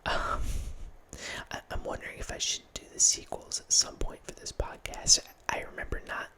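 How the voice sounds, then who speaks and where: A man in his thirties hosting an ASMR channel, whispering a rambling film chat into a close microphone in a small home room. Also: normal speed. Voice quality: soft unvoiced whisper. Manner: rambling, with restarts and quiet laughs. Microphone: close studio mic with headphones.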